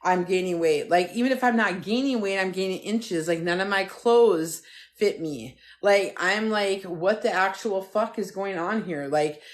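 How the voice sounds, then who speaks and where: A woman's voice: mid-range voice, a little slow. A woman in her fifties hosting a TikTok live, talking bluntly and profanely in a casual rant with many restarts. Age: 50s.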